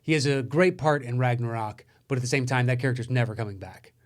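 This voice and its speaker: A man's voice: mid-range voice, quick pace. A man co-hosting a casual film review podcast, speaking in a blunt, sweary, opinionated way. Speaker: podcast co-host reviewing films.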